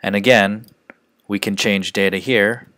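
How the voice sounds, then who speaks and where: A man narrating an instructional screencast as a software trainer, explaining each step in a measured way. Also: fairly low pitch, steady tone, no echo.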